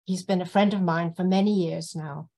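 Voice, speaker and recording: Female moderator introducing an old friend over a video call, admiring and a little halting. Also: fairly low pitch, steady tone, warm tone. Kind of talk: introducing a speaker. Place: home room with bookshelves.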